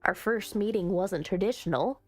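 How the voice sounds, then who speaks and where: A woman doing let's play commentary on a visual novel, reading it aloud and chatting with expressive, playful asides. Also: medium pitch, normal speed. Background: soft music.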